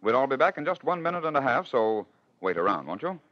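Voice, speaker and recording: A man's voice: medium pitch, big pitch swings, fast. An American man hosting and narrating a 1940s radio program with wry, theatrical storytelling. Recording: dull, lo-fi 1940s radio recording.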